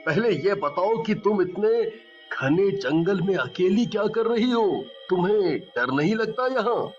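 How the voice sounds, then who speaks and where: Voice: mid-range voice, expressive, a little slow, muffled recording, faint music behind, lightly acted. An actor voicing a confident, teasing young male treasure hunter in a cartoon. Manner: teasing, confident, later stammering. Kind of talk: cartoon voice acting.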